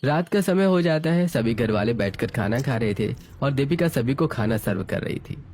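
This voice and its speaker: Male storyteller for a scripted family drama, medium pitch, narrating scene by scene. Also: lively intonation, moderate pace, a little mellow, a little hiss or hum.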